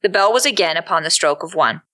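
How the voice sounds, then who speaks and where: A woman's voice: fairly low pitch, lively intonation, fast, bright, forceful tone, soft background music. A woman reading scripted storybook narration for an audio drama.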